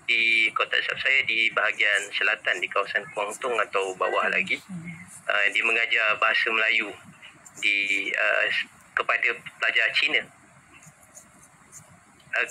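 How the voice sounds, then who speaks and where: A man's voice: on the low side, steady tone, a little slow, sharp tone, poor audio. A man from Malaysia with a Malaysian accent, hosting a casual online interview and searching for his words. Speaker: interviewer and host.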